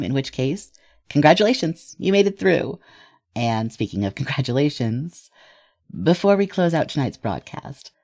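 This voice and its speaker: Smug news anchor, close and dry. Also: male voice, mid-range voice, a little mellow, no echo. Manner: polished, wry announcer delivery. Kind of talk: scripted news broadcast in audio drama.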